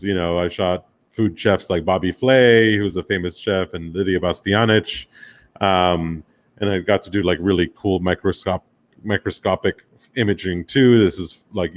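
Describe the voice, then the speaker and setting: An American man from Miami giving an informal presentation about his own career, speaking in a casual, loosely phrased, conversational way. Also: sits low. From Miami, United States. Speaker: guest speaker, commercial photographer and director.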